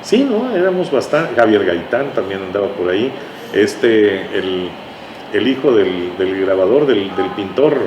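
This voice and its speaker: A man's voice: fairly low pitch, big pitch swings, a little slow. A man with a Mexican accent speaking as an interview guest, telling anecdotes conversationally and often ending with tag questions. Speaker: interview guest, writer recalling his radio work. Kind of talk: conversational reminiscing. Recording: echoey room, noisy ambient hum.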